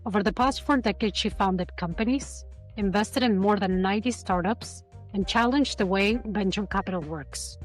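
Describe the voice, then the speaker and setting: A woman hosting a podcast interview, opening with a measured scripted introduction. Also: medium pitch, faint music behind. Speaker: podcast host.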